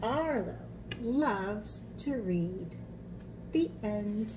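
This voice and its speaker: A woman's voice: mid-range voice, animated, very slow, noisy background. A woman teaching young children in a read-aloud and craft lesson style, explaining things simply and often checking in with little questions. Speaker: children's art teacher and storybook reader.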